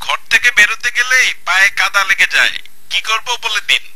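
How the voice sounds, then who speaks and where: An actor playing a plaintive, complaining viewer over a telephone call. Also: male voice, sits high, animated, a little fast. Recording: very noisy phone line with clicks.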